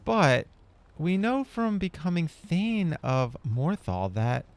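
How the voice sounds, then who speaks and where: A man's voice: fairly high pitch, sing-song, slow. A man hosting a gameplay video, giving casual, rambling, laid-back commentary in character.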